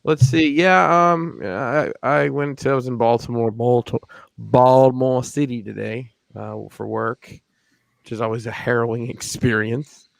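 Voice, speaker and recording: A man's voice: mid-range voice, steady tone, a little slow, creaky, dry recording. A man co-hosting a podcast, telling rambling stories with crude jokes in casual chat. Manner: joking, rambling, crude humor. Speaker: podcast co-host.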